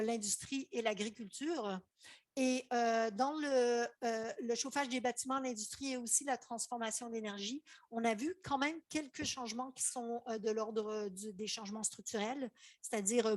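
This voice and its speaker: A woman's voice: a little high, no echo. A middle-aged woman with a Québécois French accent giving a conference talk on climate data, with frequent fillers and restarts. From Canada. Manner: halting, many fillers and restarts. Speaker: climate council chair giving a lecture.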